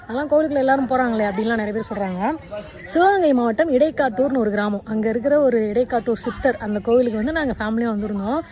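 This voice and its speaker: A woman's voice: fairly high pitch, rapid-fire, dark tone, a faint crowd. A woman giving a casual first-person video account of her own experience. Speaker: social media influencer recounting her experience.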